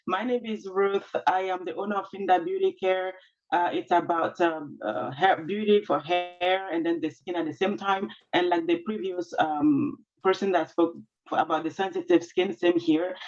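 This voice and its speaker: A woman's voice: fairly low pitch, fairly even intonation, moderate pace, darkish tone, faint music behind. A woman, a small business owner, introducing herself earnestly on a video call with many fillers and restarts. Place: at her workplace. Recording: dull lo-fi video call audio.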